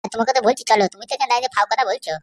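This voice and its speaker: A woman's voice: fairly high pitch, fairly even intonation, very fast, lightly acted. A woman voicing a boastful, vengeful male kidnapper who argues brashly in an amateur comedy cartoon. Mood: impatient.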